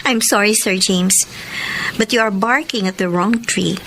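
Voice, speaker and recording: A woman's voice: medium pitch, a little slow, fairly bright tone. A Filipino woman acting in a radio romance drama as a frank, loyal young student with an emphatic way of talking. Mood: firm. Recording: clearly noisy radio drama track.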